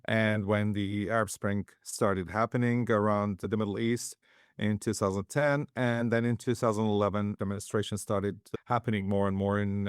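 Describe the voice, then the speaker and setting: A man from Syria, a guest, recounting his humanitarian health work in calm, measured interview answers. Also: fairly low pitch, clean tone, no echo, studio quality.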